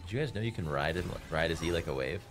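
A man's voice: low voice, lively intonation, fast, noisy background. A young man whose first language is Farsi streams a game and chats with his viewers in a joking, self-mocking way, speaking into a headset in a small room. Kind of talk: casual stream commentary. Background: faint game music.

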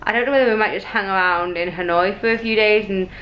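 A woman's voice: medium pitch, quick pace, clean tone, lo-fi sound. A British woman in her thirties chatting casually and informally to a handheld camera as a travel vlogger. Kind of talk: casual handheld vlog chat. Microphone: camera at arm's length. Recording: noisy street or room ambience.

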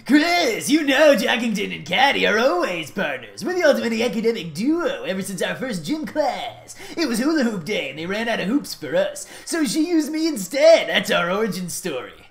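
A woman's voice: mid-range voice, very animated, moderate pace, vocal fry, few pauses, soft background music. A woman voicing a boastful, chummy monster student in a video game. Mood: chummy, proud.

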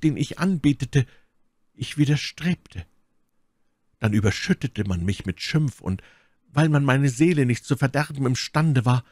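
A man's voice: mid-range voice, darkish tone, dry recording, voice pushed up, lightly acted. A man reading aloud, voicing a proud, manipulative noblewoman held prisoner, in a dramatic pleading tone. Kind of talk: audiobook character reading.

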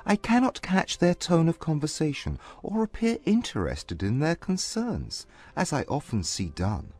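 An actor playing a reserved, haughty gentleman with formal, stiff manners in a dramatized audiobook. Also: a little high, big pitch swings, measured pace.

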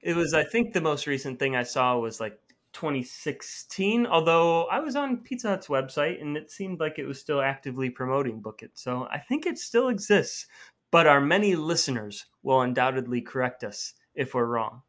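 A man's voice: on the high side, lively intonation, moderate pace, a bit bright. A man co-hosting a podcast in casual banter, with playfully mock-formal phrasing. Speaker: podcast co-host.

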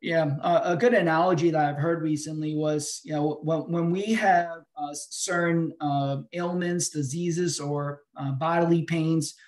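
Male webinar expert, middle-aged, slightly higher voice, explanatory and halting, on a video call. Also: steady tone, a little mellow. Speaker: financial advisor guest answering questions. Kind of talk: webinar question-and-answer.

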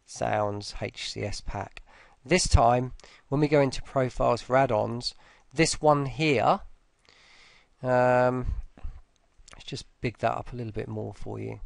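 A man talking through a casual screen-recorded software tutorial, informally, with muttered asides to himself. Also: mid-range voice, unhurried, creaky, no room sound.

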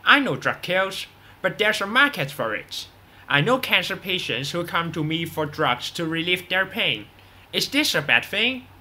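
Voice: mid-range voice, sing-song, a little slow, pushed and bright, exaggerated voice. A performer playing a brash, unrepentant drug boss on the run in a radio drama, boastful and dismissive. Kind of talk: scripted radio drama interview.

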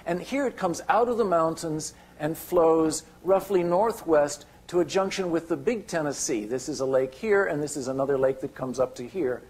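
An older man giving a keynote lecture with slides, halting, with many restarts and fillers. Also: on the high side, darkish tone, breathy edge. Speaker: keynote speaker. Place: lecture hall.

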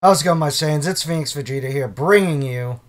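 A young man with a hoarse, fading voice, casually talking over a video game he is playing, swearing now and then. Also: fairly high pitch, a little fast, loud music behind the voice. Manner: casual, swears, clears throat. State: losing his voice, sick. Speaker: gaming YouTuber. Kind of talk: casual let's-play commentary.

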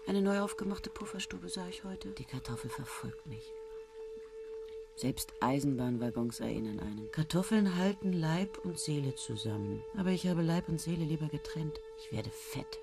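A woman's voice: sits low, animated, moderate pace, gravelly, music in the background. A woman narrating a 1970s radio play in a dry, musing inner monologue. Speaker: first-person narrator.

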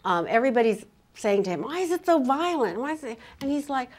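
A woman's voice: high-pitched, big pitch swings, quick pace. An older woman, a writer, talking casually and emphatically in an onstage literary conversation, repeating phrases to make her points. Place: on stage in an auditorium before an audience.

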